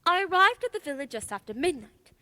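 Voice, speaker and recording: A woman's voice: very high-pitched, very animated, fast talker, pushed and bright, heavily acted. A woman playing a confident, shrewd detective in a radio play, speaking in a formal, precise, theatrical way. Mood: earnest, precise. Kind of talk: stage-style radio play acting.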